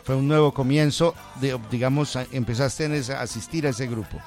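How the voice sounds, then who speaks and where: A man, a priest, gently interviewing a guest about her faith and reading the day's Gospel solemnly. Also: medium pitch, steady tone, a little fast, soft background music. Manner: gentle, affirming, reads scripture solemnly. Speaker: priest hosting.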